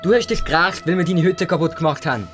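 Man playing an accusing high-pitched older boy. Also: fast talker, music in the background, close to an everyday voice. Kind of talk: audio story dialogue for children.

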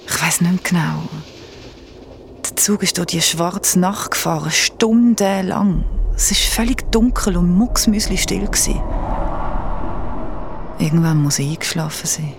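A woman's voice: lowish voice, unhurried, vocal fry, long pauses, background noise. A woman with a Swiss German accent playing a shaken, insistent young traveller who narrates her ordeal in a radio drama. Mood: shaken, tense.

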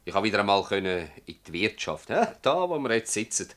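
An actor playing a stubborn, bitter old village farmer in a Swiss German dialect radio play, rambling and emphatic with rhetorical repetition. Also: male voice, fairly low pitch, very animated, brisk, a bit bright. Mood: agitated, rueful.

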